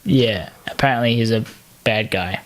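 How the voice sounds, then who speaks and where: A man's voice: on the low side, moderate pace, fairly bright tone. A man co-hosting a podcast with jokey, self-mocking, sweary banter. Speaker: podcast co-host. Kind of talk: casual banter.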